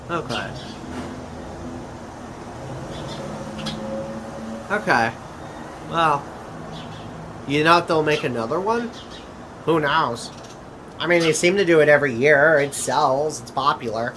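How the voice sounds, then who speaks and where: A man acting a chatty interdimensional adventurer in a conversational audio drama, with a halting, hesitant delivery. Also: a little high, animated, noisy background. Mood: casual, bemused.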